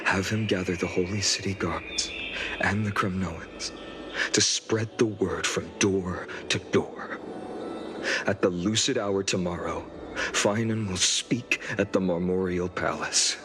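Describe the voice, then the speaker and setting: An earnest young male leader from a fantasy game, sounds burdened yet courteous. He speaks gravely and takes his time, in a slightly low voice, with music playing behind him. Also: fairly even intonation, slow pace. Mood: grave, gentle. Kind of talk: scripted dramatic game voice acting.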